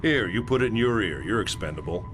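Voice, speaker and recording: A man plays a blunt army major in a game cutscene. His lowish voice is commanding and clipped. Clear ambient noise like a vehicle runs behind him. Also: expressive, fast, natural voice. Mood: curt. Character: stern, blunt male military major. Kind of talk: video game cutscene acting.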